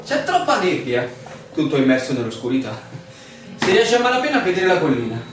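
Un attore che interpreta in un radiodramma un viandante stanco e smarrito, formale e teatrale, cortese ma pronto a indignarsi. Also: male voice, fairly high pitch, wildly swooping pitch, brisk. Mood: apologetic. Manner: formal, theatrical. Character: weary stranded male traveller, polite but indignant. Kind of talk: theatrical radio drama acting. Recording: roomy echoing stage, distant mic, noisy.

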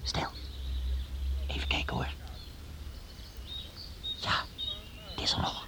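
Man playing a whispery, excitable young adventurer. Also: takes it slowly, close to an everyday voice. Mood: hushed, watchful. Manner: conspiratorial, hushed, giving short orders. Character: watchful, bossy young adventurer, wary and excitable. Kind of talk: radio drama acting.

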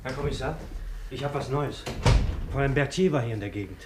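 An actor playing a dutiful subordinate police officer in a 1950s radio drama, reporting in a matter-of-fact, deferential way. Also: male voice, medium pitch, fairly quick, background noise, close to an everyday voice. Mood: eager reporting.

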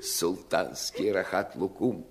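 An actor playing a commanding pirate captain who speaks in florid, honeyed phrases in a fairy-tale radio play. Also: male voice, sits low, slow pace. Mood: flattering, coaxing. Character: pirate captain, flattering, commanding Eastern lord.

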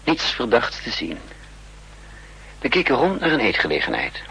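A man's voice: medium pitch, wildly swooping pitch, normal speed, vocal fry. An actor playing a suave, wily male spy in a 1960s Dutch radio drama, nonchalant and theatrical. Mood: narrating, watchful. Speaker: actor and narrator. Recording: 1960s radio recording with faint hum.

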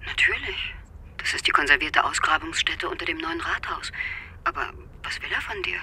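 A woman's voice: deep voice, normal speed, bright, forceful tone, slightly rough, exaggerated voice. An actress playing a cool, shrewd, wry female accomplice in a German radio drama. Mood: brisk.

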